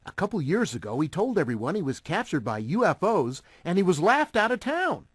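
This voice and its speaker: A man's voice: high voice, wildly swooping pitch, brisk, husky, dry recording, studio quality, full character voice. An actor playing an earnest, upbeat, take-charge teenage boy with an American accent. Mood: explaining.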